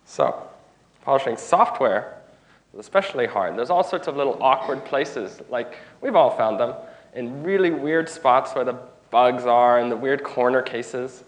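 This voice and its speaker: Male conference speaker with a cold, stuffy and informal, middle pitch, addressing a room. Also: animated, measured pace, rough recording. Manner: informal, restarts phrases, engages audience. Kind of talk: technical conference talk.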